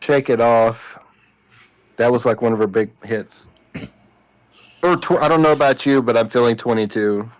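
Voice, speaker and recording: A man's voice: mid-range voice, moderate pace, pauses often, dry recording. A young man in a casual, teasing podcast chat over a dull lo-fi mic. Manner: teasing, joking.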